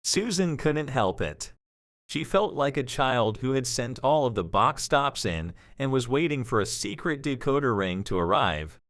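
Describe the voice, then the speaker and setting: A man narrating a scripted story for an audio drama in a measured, expressive storytelling manner. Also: medium pitch, no echo, studio quality.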